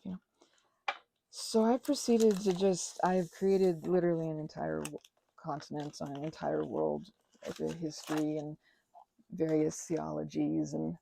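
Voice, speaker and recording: A woman chatting casually in a rambling, digressive way to her audience, animated and poking fun at herself. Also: on the low side, creaky, husky. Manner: animated, self-mocking, digressive. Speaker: hobbyist creator talking to her audience. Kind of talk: casual rambling chat, ASMR channel.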